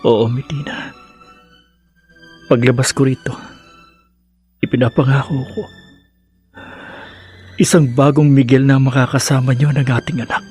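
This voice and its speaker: An actor playing a gentle, remorseful young husband with a husky voice in a radio drama. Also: male voice, medium pitch, steady tone, soft background music, close to an everyday voice. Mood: remorseful, loving. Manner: slow, gentle, apologetic. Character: remorseful young husband recovering from surgery, devoted.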